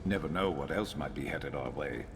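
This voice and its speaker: A man voicing a weary, guarded reptilian warrior and former slave in a video game, speaking in a measured, plainspoken way. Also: heavy background noise. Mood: dry, steady. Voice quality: very deep, gravelly. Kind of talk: video game character dialogue.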